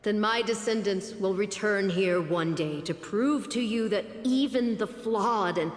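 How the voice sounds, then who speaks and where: A woman's voice: medium pitch, lightly acted. Woman acting humble pleading heroine, echoing hall. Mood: solemn resolve. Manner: halting, earnest, formal speech. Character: humble mortal heroine pleading for her life. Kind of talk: dramatic video game voice acting. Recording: long echoing hall reverb, music behind. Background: music.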